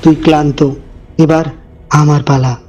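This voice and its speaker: A man voicing a menacing shadow double in a horror story, speaking in a slow, ominous, measured way. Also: a little high, flat delivery, dark tone, muffled recording, voice pushed down. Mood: cold menace. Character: menacing shadow double, calmly taking over its owner.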